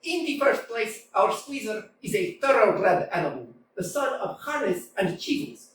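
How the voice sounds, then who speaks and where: A woman's voice: a little high, animated, normal speed, a little hiss or hum, close to an everyday voice. A woman voicing a headstrong, argumentative young woman in a staged play reading, teasing and stubborn, heard from a distance in an echoey hall. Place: small theatre stage.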